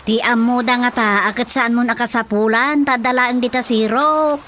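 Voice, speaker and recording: A woman's voice: a little high, steady tone, moderate pace, fairly bright tone, close to an everyday voice. A Filipino woman with an Ilocano accent playing a scolding, practical village wife in a radio drama comedy. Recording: light background noise.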